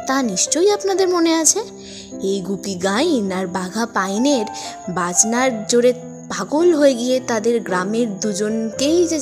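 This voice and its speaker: A woman narrating a scripted story as host, in an expressive storytelling style. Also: a little high. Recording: music under the voice. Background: background music.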